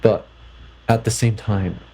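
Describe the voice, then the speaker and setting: A man's voice: on the low side, fairly even intonation, slow pace, warm tone, slight background noise. A young man from Canada in a personal vlog, reflecting thoughtfully and hesitantly, often restarting his sentences. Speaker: vlogger.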